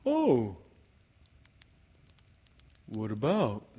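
A sweet, slow-witted little toy bear from a children's storybook. He is hesitant and earnest, plodding along at a very unhurried pace. The pitch wanders widely as he speaks. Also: huge pitch swings, mellow, slightly rough, heavily acted. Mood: puzzled, friendly. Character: slow, simple-minded, good-natured little toy bear.

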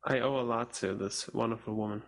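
Gravelly young man, reflective and halting. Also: mid-range voice, steady tone. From Spain, raised in Portugal. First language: German. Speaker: interview guest, musician. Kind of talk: casual interview talk.

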